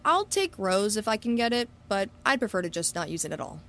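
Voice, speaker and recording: A woman's voice: mid-range voice, sing-song, a little fast, fairly bright tone. A woman playing a confident, scheming young student and true-crime podcaster in an audio drama, polite but pointed. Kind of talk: scripted audio drama acting.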